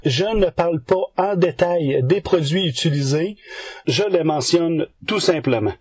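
Slow, higher-pitched Québécois man hosting. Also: darkish tone, husky, dry recording. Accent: Québécois French. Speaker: podcast segment host. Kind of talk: semi-scripted news bulletin narration.

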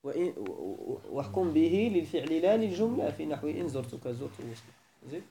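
A man's voice: fairly high pitch, big pitch swings, fairly quick, crowd noise. A man from Morocco teaching Arabic grammar to a class, mixing formal Arabic with Moroccan dialect and pressing students with repeated questions of why. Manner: probing, repeatedly asks why and corrects.

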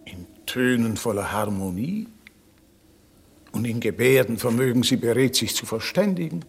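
Shipwrecked king, solemn, declamatory, middling pitch, creaky edge, radio drama. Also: animated, normal speed. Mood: awed. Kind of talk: classic radio play acting.

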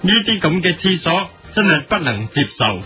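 A man's voice: on the high side, very animated, normal speed, pushed and bright, soft background music. A man playing a mock-serious, indignant television editorial presenter with a Hong Kong Cantonese accent, emphatic in a parody broadcast.

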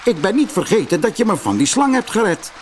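A man voicing a grateful, helpful frog in a storybook, with a croaking voice. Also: high voice, very animated, fairly quick, a little hiss or hum, voice pushed up.

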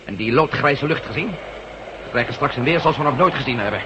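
A man playing a confident, quick-thinking adventurer in a radio drama, assured and matter-of-fact. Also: mid-range voice, lively intonation, lightly acted. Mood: assured, urgent. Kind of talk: Dutch radio drama acting. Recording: dull, very noisy old radio recording.

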